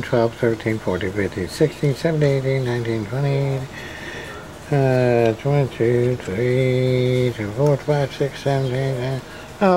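A man talks slowly through a hands-on repair at an indoor workbench. His delivery is halting and casual, muttered as if to himself, at an ordinary middle pitch. The camera-mic recording carries clear background noise. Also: darkish tone, poor audio. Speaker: radio repairman and video host.

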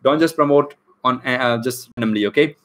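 A man's voice: medium pitch, brisk. A man in his thirties with an Indian accent, chatting casually with viewers as he teaches a live online English class. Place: small room indoors.

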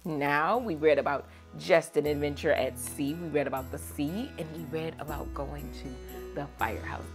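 A young adult woman hosting a read-aloud for children, teacherly, moving between expressive storybook reading and chat with questions. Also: sits low, moderate pace, music in the background. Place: small furnished room indoors.

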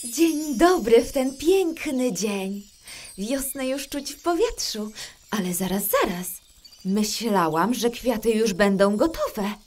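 A woman's voice: on the high side, slow, heavily acted. A woman voicing a kind, reassuring fairy in a children's audio drama. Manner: lively, reassuring. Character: kind female fairy, storyteller.

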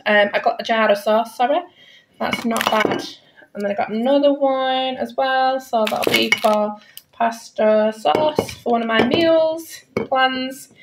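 A British woman chatting casually and informally as a family vlogger, often repeating words for emphasis, recorded indoors at home. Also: fairly high pitch. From Britain. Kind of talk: casual grocery haul chat to camera. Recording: clear background noise, packaging crinkling. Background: crinkling packets, clicks, handling noise.

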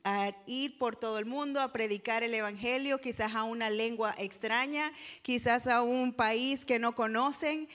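A woman with a fairly high voice speaks publicly from a church stage. She addresses the congregation in the role of host and interpreter, delivering each phrase for the people seated before her. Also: fairly bright tone.

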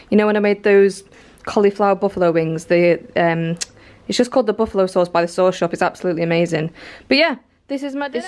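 A young woman in her twenties, talking to camera at home in a casual, rambling vlog, full of likes and ums. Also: medium pitch. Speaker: vlogger. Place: living room at home, indoors.

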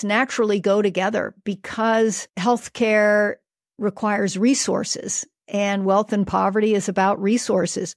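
Woman podcast host, mid-pitched, articulate, dry recording. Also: dry recording. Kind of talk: interview host questions.

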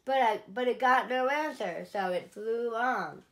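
A woman in her forties narrating a picture book aloud for young children in an expressive, deliberate, emphatic way. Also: on the high side. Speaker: children's storyteller at a museum. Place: small indoor room, seated.

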